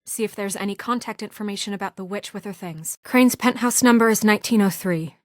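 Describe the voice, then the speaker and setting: A woman's voice: mid-range voice, brisk, dry recording, clean studio sound, close to an everyday voice. An actress playing a young, no-nonsense fairy-tale administrator woman in a video game, speaking in a businesslike, urgent and matter-of-fact way. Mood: urgent, focused. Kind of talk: naturalistic video game voice acting.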